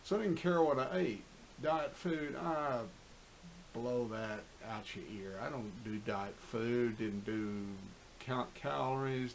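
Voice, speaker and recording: A man's voice: mid-range voice, gravelly, slight background noise. A man in his fifties talking candidly to camera in a rambling, profane way, full of fillers and restarts. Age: 50s. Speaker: fitness vlogger. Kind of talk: casual monologue to camera.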